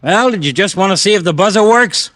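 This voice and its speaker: A man acting a gruff, sarcastic, wisecracking American police lieutenant in a 1950s radio drama. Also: high-pitched, lively intonation, a little fast, a bit bright. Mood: weary, sarcastic.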